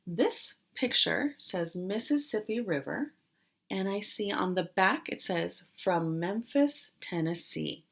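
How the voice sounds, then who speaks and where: A woman teacher doing an interactive read-aloud for young children, explaining as she goes and pausing after questions. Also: mid-range voice, lively intonation, gravelly. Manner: questioning, explanatory, with pauses for answers.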